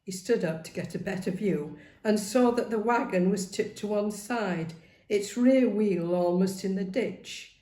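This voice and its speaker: A woman reading a novel aloud as dramatic, expressive narration. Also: mid-range voice, measured pace. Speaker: story reader. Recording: roomy, echoing sound.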